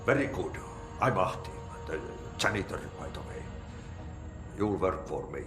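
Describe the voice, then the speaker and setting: An odd Finnish janitor. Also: male voice, deep voice, lively intonation, moderate pace, roomy sound, music in the background. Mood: cryptic. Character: odd Finnish janitor man, cryptic and cheerful.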